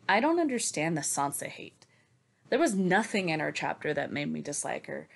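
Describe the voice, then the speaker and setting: A woman's voice: fairly low pitch, creaky. A woman in a casual book discussion, speaking wryly and quoting others expressively. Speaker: book read-along panelist.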